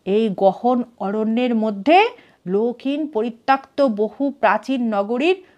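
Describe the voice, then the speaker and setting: A woman with a Bengali accent reading an adventure story aloud in a measured, expressive storytelling manner. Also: a little high. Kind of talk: scripted dramatic narration of a story.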